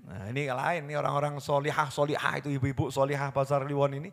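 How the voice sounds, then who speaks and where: A man preaching a sermon, swinging from hushed storytelling to loud impassioned pleading. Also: mid-range voice, little pitch movement, fast talker, vocal fry. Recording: long hall reverb.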